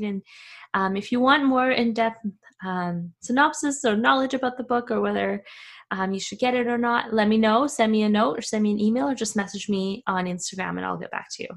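A woman from Afghanistan talks through her podcast in a relaxed, reflective way. Her medium-pitched voice carries a steady creaky fry. She pauses often and restarts her sentences as she thinks aloud. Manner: chatty, reflective, many fillers and restarts. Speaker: podcast host. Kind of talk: casual podcast monologue. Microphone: camera video, facing the screen.

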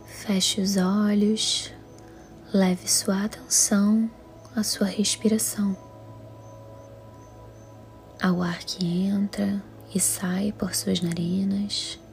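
A Brazilian Portuguese woman leads a guided meditation in a calm, soothing, measured way, her voice soft, breathy and half-whispered. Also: mid-range voice. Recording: music under the voice. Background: music.

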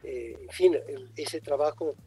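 An older man raised in Italy with Italian as his first language, a writer reflecting slowly in an interview, often pausing and restarting. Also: on the high side, dark tone, husky, soft background music. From born in Egypt, raised in Italy. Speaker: writer guest. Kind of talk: thoughtful interview answers.

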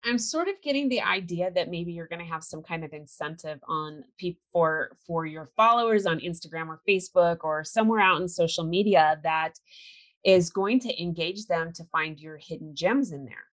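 An American woman hosting a relaxed interview, chatting eagerly and laughing easily. Her voice sits a little low for a woman. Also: moderate pace. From California. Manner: chatty, enthusiastic, laughs often. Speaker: host. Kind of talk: casual interview chat.

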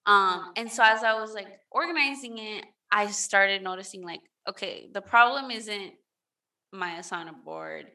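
A woman's voice: medium pitch, normal speed, bright, forceful tone. A woman co-hosting a casual chat, rambling with lots of fillers and restarts. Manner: rambling, filler-heavy with many "like"s. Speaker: podcast co-host.

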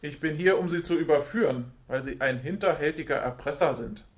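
A man's voice: a little high, fairly quick, natural voice. A man playing a confident policeman uncle, genial but firm, in an amateur radio play. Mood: stern, satisfied.

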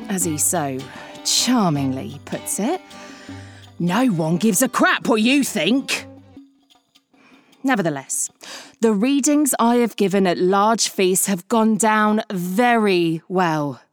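A woman's voice: mid-range voice, lively intonation, slow pace, soft background music. An actress in a comedy audio drama playing a wry, sardonic woman writer with a dry, exasperated manner.

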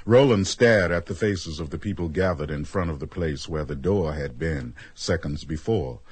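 A man narrating a radio drama as a measured storyteller, reading scripted prose. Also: a bass voice, darkish tone, husky, dry recording. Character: measured storyteller of a strange tale.

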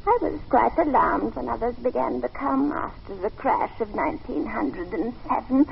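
Creaky, imperious old matriarch. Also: female voice, on the high side, lively intonation. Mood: grand, wistful. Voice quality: creaky, aged. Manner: haughty, grande-dame, drawn-out phrasing. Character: imperious, querulous old matriarch, at least eighty, shadowy grand lady. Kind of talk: old-time radio drama acting. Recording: dull, noisy old radio recording.

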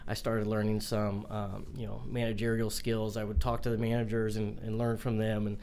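A man in his forties with a young-sounding voice, answering interview questions in a halting way with many fillers and restarts. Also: lowish voice, monotone, a little mellow, a little hiss or hum. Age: 40s. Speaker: mortgage company owner.

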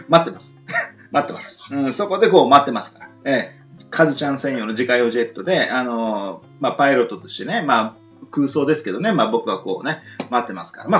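Animated, joking male podcast host. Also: mid-range voice. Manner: joking, playful, rambling with hesitations. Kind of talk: casual chat, light banter. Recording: dull lo-fi audio with faint music.

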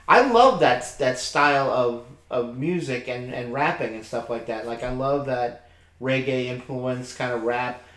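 A man's voice: medium pitch, a little slow. Chatty man in an echoey room. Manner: chatty and animated, laughs easily. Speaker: podcast co-host. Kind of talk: casual music review chat.

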